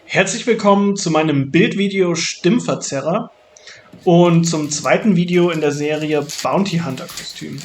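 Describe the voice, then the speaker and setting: A man's voice: on the high side, a little hiss or hum. A man narrating a do-it-yourself build tutorial in a casual way. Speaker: maker narrating a build video.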